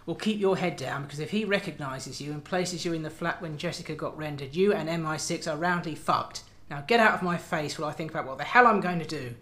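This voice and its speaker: A man's voice: fairly high pitch, fast talker, hardly any pauses, sharp tone. A man playing a sardonic, furious intelligence boss in a radio drama, biting and accusatory. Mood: menacing, accusing. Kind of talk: tense radio drama dialogue.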